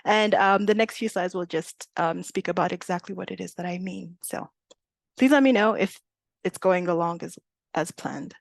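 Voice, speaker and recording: A woman's voice: fairly low pitch, moderate pace, vocal fry, no echo. Young woman from Uganda presenting on a video call, chatty with lots of fillers, dry close sound. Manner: chatty, many fillers and small laughs. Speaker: webinar presenter. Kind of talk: casual webinar presentation.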